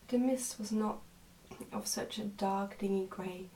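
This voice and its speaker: A woman reading a storybook as soft-spoken, measured ASMR narration. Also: medium pitch, steady tone, roomy sound, distant mic, a little hiss or hum, low-quality recording. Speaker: storybook reader.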